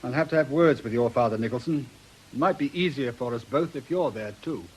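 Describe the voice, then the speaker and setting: A man's voice: medium pitch, brisk, darkish tone, breathy edge, lightly acted, poor audio. A man acting in a radio drama as a plain-spoken, dutiful police inspector with a British accent and a blunt, businesslike manner. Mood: quiet, considerate. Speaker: radio actor.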